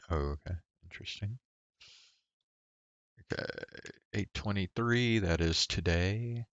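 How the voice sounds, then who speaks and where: Very deep, slow-talking man streaming a game. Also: no room sound, lo-fi sound. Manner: rambling, hesitant, thinking aloud. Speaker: game livestreamer. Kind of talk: casual chat while playing a game.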